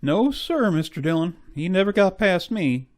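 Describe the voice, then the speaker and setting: An actor playing an eager, deferential frontier deputy in a Western radio drama. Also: male voice, high-pitched, sing-song, moderate pace.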